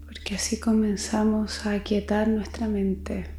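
A woman leading a guided meditation, speaking gently and soothingly in a soft voice with long pauses. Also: medium pitch, level tone, roomy sound. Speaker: psychologist guiding meditation. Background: music.

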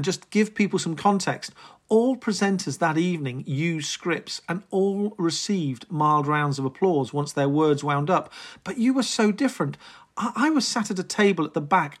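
An interviewer reading prepared questions in an admiring, measured way. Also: mid-range voice.

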